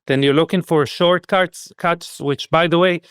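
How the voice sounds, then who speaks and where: A man speaking as a podcast guest, thoughtful and halting, often restarting sentences as he searches for his words. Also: on the high side, steady tone, fairly bright tone, clean tone, no echo, clean studio sound. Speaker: podcast guest, skincare business expert. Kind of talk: conversational interview.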